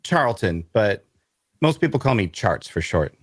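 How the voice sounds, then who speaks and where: Low-voiced, wry bookish loner adventurer. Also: male voice, sing-song, measured pace, husky, no room sound, studio quality, exaggerated voice. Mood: awkward, polite. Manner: wry, hesitant in conversation. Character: awkward, bookish, self-deprecating loner adventurer, a man. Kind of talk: first-person narration and dialogue in audio drama.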